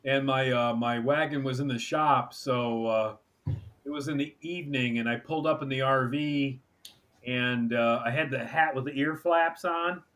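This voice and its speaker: A man's voice: medium pitch, fairly even intonation, moderate pace, fairly bright tone. A middle-aged man hosting a livestream, chatting casually with playful, jokey banter. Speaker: livestream host. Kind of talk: casual chat.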